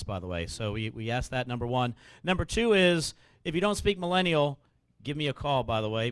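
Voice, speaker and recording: Fast-talking male lender. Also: medium pitch, a bit bright, dry recording, rough recording. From Mexico. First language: Spanish. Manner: fast, chatty, joking, enumerates points. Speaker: mortgage lender. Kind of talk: quick sales pitch to a meeting.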